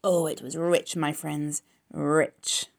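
A woman voicing a smug, callous, gluttonous male rat from a storybook, with a husky voice and a drawn-out, snarling delivery. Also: low-pitched, level tone, heavily acted. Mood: gloating, revelling. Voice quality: husky, hoarse.

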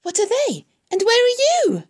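A woman voicing an eager, polite, naive young male pig in a storybook, earnest and prone to loud exclamations. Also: a little high, sing-song, unhurried, gravelly, full character voice. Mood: frantic.

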